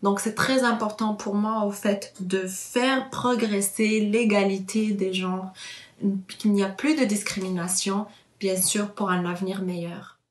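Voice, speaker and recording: A woman's voice: medium pitch, steady tone, measured pace, echoey room. A woman in her thirties, originally from Morocco, giving a personal testimony in an earnest way with many hesitations and fillers. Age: 30s. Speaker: interviewee.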